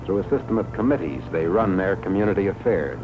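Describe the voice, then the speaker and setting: A man reads scripted 1950s educational film narration in a measured, formal way, on a vintage film soundtrack. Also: low-pitched, animated, music in the background, low-quality recording. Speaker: documentary narrator.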